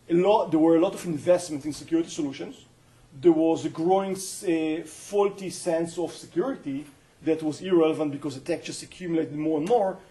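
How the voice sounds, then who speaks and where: Mellow-voiced man with an Israeli accent. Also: a little high. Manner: earnest, explanatory, with frequent hesitations. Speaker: company founder giving a guest lecture. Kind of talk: university colloquium talk. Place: university lecture room.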